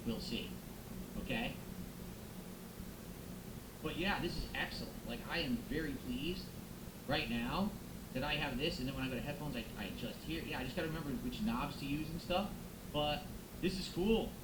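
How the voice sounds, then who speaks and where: Chatty streamer man in an echoey room. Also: medium pitch. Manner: chatty, thanking viewers, musing aloud. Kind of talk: casual live-stream chat. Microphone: close XLR microphone. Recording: echoey room with background noise.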